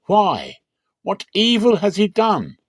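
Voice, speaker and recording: A man's voice: high-pitched, huge pitch swings, takes it slowly, breathy edge, no echo, studio quality, pitched above the performer's own voice, exaggerated voice. A man voicing a weary Roman governor who protests in a raised voice. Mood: protesting.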